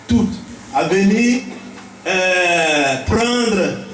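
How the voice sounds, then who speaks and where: A man's voice: sits high, animated, drawn-out words, fairly bright tone, soft background music. A man with an Ivorian accent giving a preaching-style welcome speech as a village chief, teaching point by point and repeating key phrases. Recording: echoey, picked up from a distance.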